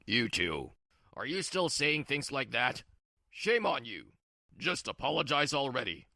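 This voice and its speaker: A woman's voice: extremely low pitch, sing-song, a little slow, vocal fry, sharp tone, no echo, studio quality, heavily acted. A woman voicing a stern older father-in-law who scolds firmly in a manga story dub. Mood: stern, scolding. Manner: scolding, firm.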